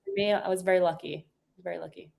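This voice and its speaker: A woman in her forties with a slightly low voice speaks in a relaxed, chatty way. She laughs easily and her sentences are full of fillers and restarts. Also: fast talker, creaky. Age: 40s. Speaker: guest. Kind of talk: casual chat.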